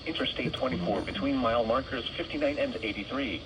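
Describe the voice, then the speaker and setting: A synthetic man's voice reads formal scripted weather warnings over weather radio in a measured, impersonal way. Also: mid-range voice. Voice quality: synthetic text-to-speech voice. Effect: weather radio broadcast. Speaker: automated weather radio announcer. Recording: noisy weather radio picked up in room.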